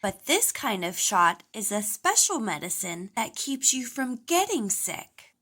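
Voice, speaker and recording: A woman voicing a friendly, brisk female doctor bear in a storybook, explaining things in a reassuring way. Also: medium pitch, very animated, sharp tone, heavily acted.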